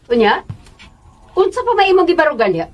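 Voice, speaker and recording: A woman's voice: very high voice, very animated, a little fast, lo-fi sound. An actress playing a curt, impatient mother and mistress of the house in a radio drama, brusque and snappish.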